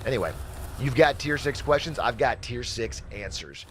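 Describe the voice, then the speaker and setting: A man's voice: medium pitch, animated, moderate pace, slight background noise, close to an everyday voice. An actor playing a shady, slick finance guru in a video game, with a glib, showy salesman's delivery. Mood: smug, breezy. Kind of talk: game voice acting, hosted advice show patter.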